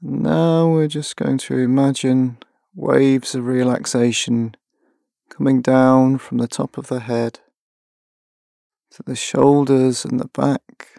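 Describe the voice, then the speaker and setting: A man leading a guided mindfulness meditation, giving gentle, measured instructions with long pauses. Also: mid-range voice, flat delivery, gravelly, dry recording, studio quality.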